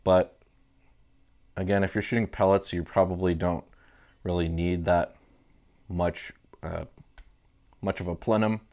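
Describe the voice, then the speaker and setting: A man's voice: sits low, unhurried, long pauses. A man in his thirties with a stuffy nose from a cold, casually walking through the features of his airgun in an indoor studio. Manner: relaxed, easygoing explanations with fillers. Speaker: airgun reviewer. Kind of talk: casual product walkthrough. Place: indoor studio room with red lights.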